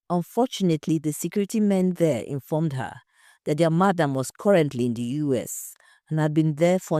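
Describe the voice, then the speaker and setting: A woman's voice: sits low, dark tone, dry recording, clean studio sound. A woman reading a scripted story as its narrator.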